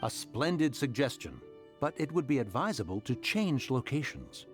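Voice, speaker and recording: A man's voice: medium pitch, big pitch swings, moderate pace, close to an everyday voice. A man playing a courteous, lofty ancient immortal in a fantasy game, with ornate, formal phrasing. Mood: pleased, genial. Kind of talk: fantasy game cutscene acting. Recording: music behind.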